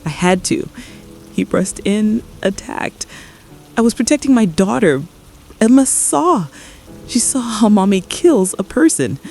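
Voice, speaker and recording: An actress playing a guilt-ridden, traumatized mother in an apocalypse radio drama, confessing haltingly and repeating her phrases in distress. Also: medium pitch, lively intonation, creaky, a little mellow, faint music behind. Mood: despairing confession, then anguished, horrified.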